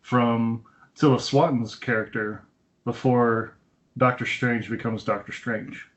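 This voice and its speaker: A man's voice: mid-range voice, steady tone, slow pace. A man co-hosting a podcast in casual film discussion, explaining things and retelling scenes in detail over an internet call. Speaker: podcast co-host. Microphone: Skype call. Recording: dull, lo-fi internet call audio.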